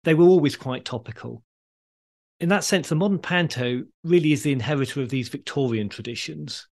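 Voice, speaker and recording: A man hosting a history podcast, explaining things in a measured, conversational way. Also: on the high side, gravelly, darkish tone. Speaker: podcast host.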